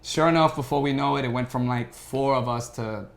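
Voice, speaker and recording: A man's voice: mid-range voice, steady tone, fairly quick, creaky. A young adult man talking casually as a guest on a podcast. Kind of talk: casual chat. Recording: faint background noise and room ambience.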